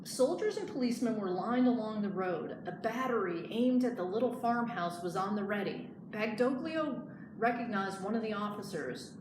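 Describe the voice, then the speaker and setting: A woman librarian reading a picture book aloud in an expressive storytelling style. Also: medium pitch, normal speed. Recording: echoey room, clear background noise.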